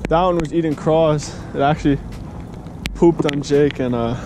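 A man's voice: fairly high pitch, moderate pace, noisy background. A young man talking in a loose, slangy way while filming a fishing trip on an action camera outdoors. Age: young adult. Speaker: fishing vlogger. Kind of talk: casual vlog chat. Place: outdoors by a lake.

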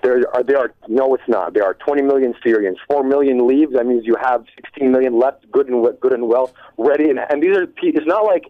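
A young man calling in to a talk radio show, rushing through his points with frequent restarts. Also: medium pitch, dry recording. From United States. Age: young adult. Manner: rapid, restarts mid-sentence. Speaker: caller. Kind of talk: radio call-in conversation. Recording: tinny phone line.

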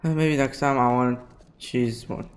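A young man with a medium-pitched voice commentates on a game in a casual, rambling way. He sounds informal and goofy, on a headset at home with faint noise and game sound behind him. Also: fairly even intonation, unhurried. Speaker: gaming streamer. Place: small indoor room at home.

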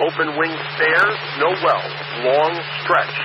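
Methodical New York fire dispatcher on radio. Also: male voice, on the high side, slow pace. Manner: clipped, methodical radio procedure. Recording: muffled dispatch radio with loud static.

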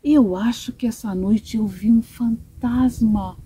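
A woman voicing a friendly, excitable young girl in a children's storybook, chatty and eager, with a Brazilian Portuguese accent. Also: on the high side, slow pace, husky, exaggerated voice. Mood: excited.

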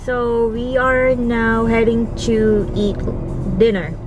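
A woman's voice: a little high, fairly even intonation, takes it slowly, loud music behind the voice, poor audio. A young woman chatting casually on a handheld camera vlog, giggling and exclaiming often. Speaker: vlogger. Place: stores, restaurant and mall. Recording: loud background noise.

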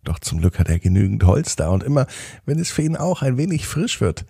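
A man hosts a children's podcast, narrating a bedtime story expressively with drawn-out phrases. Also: medium pitch, sing-song, gravelly, dark tone, slightly rough, no echo, clean studio sound.

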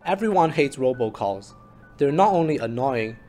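A man narrating a scripted research presentation in a measured, formal way, as if reading. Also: mid-range voice, lively intonation, dark tone. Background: instrumental music.

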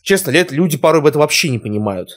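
A young man with a fairly high voice comments casually as a reaction host. His delivery is emotional and full of exclamations. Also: expressive, very fast. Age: young adult. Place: furnished room at home, indoors.

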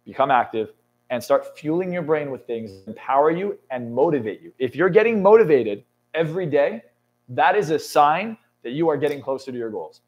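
A man's voice: a little high, a little fast, husky, dull audio. A man in his twenties, a motivational speaker and coach, talking emphatically in a studio interview and swearing often. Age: 20s. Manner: intense, emphatic, swears often, builds to excited peaks. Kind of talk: motivational talk in a podcast interview. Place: indoor studio lounge set.

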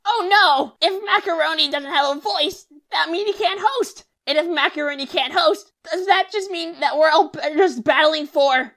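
A woman plays an anxious little cartoon contestant with an extremely high, creaky female voice. It sounds fretful and small, in homemade web animation style. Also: moderate pace, hardly any pauses, fairly bright tone, heavily acted. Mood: worried. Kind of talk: amateur animated web show voice acting.

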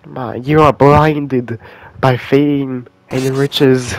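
A man's voice: mid-range voice, fairly even intonation, extremely slow, poor audio. A man doing casual let's play commentary in a laid-back, sarcastic way, swearing casually. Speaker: gaming content creator playing and commenting. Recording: game music behind the voice. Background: video game music.

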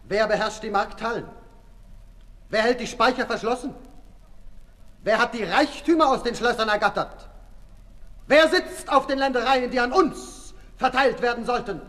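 Accusing, high-voiced rebel. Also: male voice, animated, moderate pace, pauses often, a bit bright. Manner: urgent, accusing. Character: indignant, agitating male rebel demanding justice. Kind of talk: 1960s German radio play acting. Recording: echoey hall, faint noise, 1960s radio.

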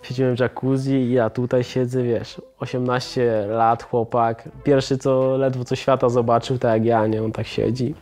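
A man's voice: medium pitch, normal speed, hardly any pauses. A young man, a footballer guest, casually telling anecdotes in a living-room interview and laughing often. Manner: lively storyteller, laughs often, repeats "dokładnie".

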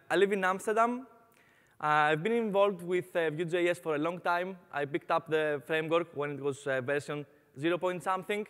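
A man's voice: on the high side, fairly bright tone, big-room sound. A young man from Greece with a Greek accent giving a conference talk on stage, upbeat, with frequent "uh" fillers. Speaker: conference speaker. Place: large conference hall on stage.

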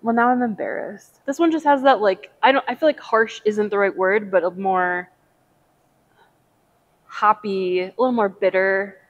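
A woman's voice: mid-range voice, moderate pace, pauses often. A woman hunts for the right words and pokes fun at herself as she tastes. Her voice sounds slightly dull and muffled through a lapel mic in a taproom. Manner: searching for words, self-deprecating. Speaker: coworker taste tester. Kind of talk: casual tasting comments. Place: brewery taproom.

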